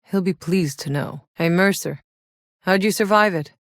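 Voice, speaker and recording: Casting: actress for tough, wry woman soldier in a sci-fi game, deep register, gruff swagger, close dry studio sound. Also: moderate pace, no echo, lightly acted. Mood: businesslike. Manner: gruff, sardonic, casual swagger. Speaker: video game voice actor. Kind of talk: video game voice lines.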